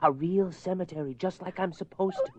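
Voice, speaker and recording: Man acting a high-pitched, desperate, pleading husband. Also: fairly quick, natural voice. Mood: soothing, pleading. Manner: intense, imploring, emotional. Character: desperate, pleading husband confronting a possessing spirit. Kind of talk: horror radio play acting. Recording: muffled lo-fi radio drama recording.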